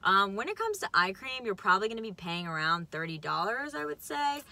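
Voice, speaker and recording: A woman's voice: mid-range voice, very animated, fairly quick, vocal fry, sharp tone. A young woman in her twenties, chatting casually and giving upbeat advice from a parked car. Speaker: co-host. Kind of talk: casual chat. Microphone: phone camera on dashboard.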